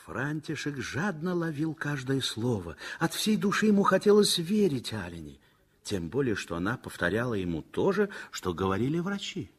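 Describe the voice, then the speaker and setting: A man narrating a 1960s radio play in a measured storytelling manner. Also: mid-range voice, big pitch swings, breathy edge.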